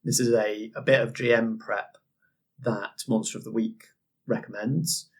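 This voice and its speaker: Husky man chatting about game design. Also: on the low side, steady tone, unhurried. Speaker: game master and podcast guest. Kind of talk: casual explanatory chat.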